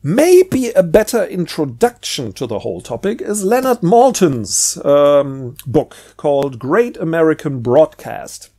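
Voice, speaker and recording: Male, higher-pitched voice, unscripted book reviewer, casual, hesitant, rambling, repeats words. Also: big pitch swings, unhurried, few pauses, mellow. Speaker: book review video host.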